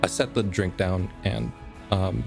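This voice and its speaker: A man playing a tabletop roleplaying game, improvising in a hesitant, halting way. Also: deep voice, fairly even intonation, creaky, music in the background.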